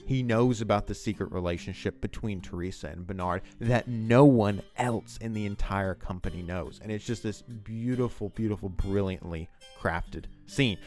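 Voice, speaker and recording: A man's voice: lowish voice, moderate pace, few pauses, a little mellow, faint music behind. A man giving enthusiastic, rambling commentary on a TV scene as the host of a video essay.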